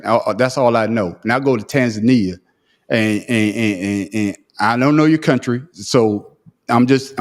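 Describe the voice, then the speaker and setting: A man hosting a casual podcast, arguing emphatically and restarting his sentences often. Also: mid-range voice. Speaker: podcast host. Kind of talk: heated casual debate.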